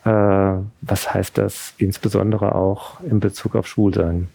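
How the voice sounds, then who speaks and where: A man's voice: lowish voice, little pitch movement, takes it slowly, gravelly, dark tone, breathy edge, no echo. A man interviewing an author, conversational and thinking aloud with fillers. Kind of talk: interview questions and summaries.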